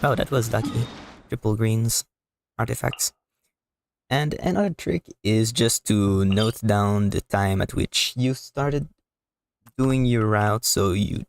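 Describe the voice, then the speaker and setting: A man casually commentating a live game stream, explaining things in a hesitant, halting, rambling way. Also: fairly low pitch, vocal fry, dry recording. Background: music.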